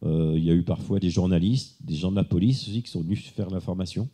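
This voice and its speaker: A man's voice: sits low, quick pace, warm tone. A man giving an unscripted technical presentation as a conference speaker, explaining informally with some hesitation.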